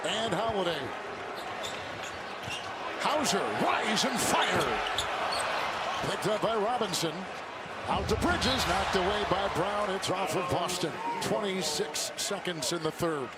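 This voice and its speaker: A man's voice: high voice, lively intonation, slow pace, long pauses. A man calling live basketball play-by-play in clipped, descriptive phrases. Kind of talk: live sports commentary. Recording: loud arena crowd and court noise. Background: basketball arena crowd, bouncing ball.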